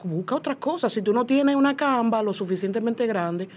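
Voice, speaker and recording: A woman's voice: on the high side, animated, moderate pace. A woman from the Dominican Republic with a Dominican accent, talking casually as an interview guest and repeating phrases for emphasis. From Puerto Plata, Dominican Republic. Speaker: guest, muralist and illustrator. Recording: faint background noise, ambient sound.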